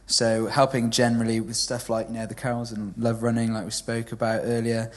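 A man's voice: medium pitch, completely flat delivery, brisk. A man in his twenties from Wales, a native Welsh speaker with a well-spoken southern English accent, talking about himself in a hesitant, chatty way with lots of ums during a church service. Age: 20s. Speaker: church intern. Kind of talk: church stage interview.

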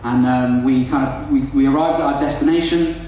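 A man's voice: mid-range voice, steady tone, brisk, mellow, very echoey, recorded from a distance, a little hiss or hum, poor audio. A young Welsh-born man whose first language is Welsh, telling a comic story to an audience in a chatty, self-deprecating way and calling his listeners folks. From Wales. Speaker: storyteller on stage.

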